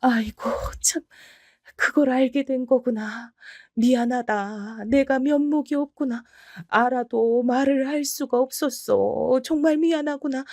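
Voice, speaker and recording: A remorseful older mother-in-law, played by a woman sighs out slow, heavy apologies in a mellow, warm tone. The sound is close and dry, with no room around it. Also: high-pitched, no room sound.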